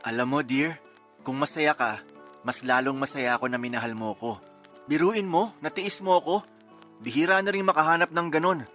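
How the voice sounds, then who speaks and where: A man acting a grateful, gently teasing boyfriend in a Tagalog radio romance drama, affectionate and conversational. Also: on the high side, fairly even intonation, moderate pace, faint music behind, close to an everyday voice. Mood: grateful, tender.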